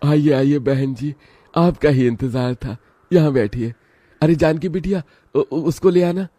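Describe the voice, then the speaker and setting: Man voicing a humble, mellow old village father. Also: a little high, a little slow, no echo, pitched above the performer's own voice. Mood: hopeful, welcoming. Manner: pleading, earnest.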